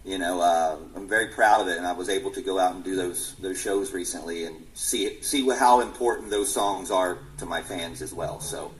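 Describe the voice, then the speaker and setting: Musician guest, male, forties: earnest, low-pitched, restarts his sentences a lot. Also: poor audio. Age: 40s. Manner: earnest, halting with many restarts. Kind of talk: casual interview answers.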